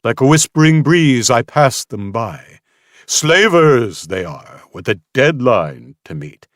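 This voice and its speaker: A man's voice: mid-range voice, big pitch swings, slow pace, fairly bright tone, dry recording, clean studio sound, voice pushed up. A man voicing an eccentric, scruffy male loner who talks in sly, giggly, theatrical rhymes for an audiobook. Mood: secretive, theatrical. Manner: giggly, erratic, theatrical rhyming delivery.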